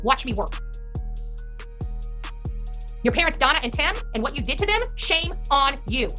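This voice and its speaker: High-pitched, combative woman ranting over music. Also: expressive, fast talker, pushed and bright. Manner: combative, emphatic, repetitive. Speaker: host of a true-crime online channel. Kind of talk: impassioned rant to camera.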